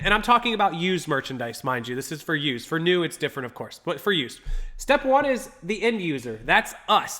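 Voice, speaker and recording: A man hosting a live stream in an upbeat, chatty way, calling out viewers by name as he goes. His voice sits high and bright, with a slightly stuffy, congested sound. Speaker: livestream host. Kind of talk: casual live-stream talk to chat.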